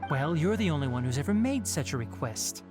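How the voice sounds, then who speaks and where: A woman's voice: sits low, very animated, brisk. An actress playing a young woman physician in a video game, principled and guarded, speaking in a formal, firm, articulate way. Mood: firm, disapproving. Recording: music behind the voice.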